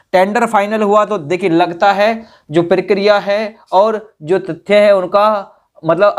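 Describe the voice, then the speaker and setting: A man giving an informal explainer to students, repeating phrases for emphasis and checking in with short tag questions. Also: high voice. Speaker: exam-news presenter. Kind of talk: informal explainer addressed to exam candidates.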